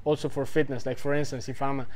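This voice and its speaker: A man's voice: medium pitch, level tone, fast, darkish tone. A young man in his twenties talks loosely to the camera in a mid-range voice. He rambles, circles back, and fills his talk with "like" and "right?". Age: 20s. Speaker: vlogger giving life advice.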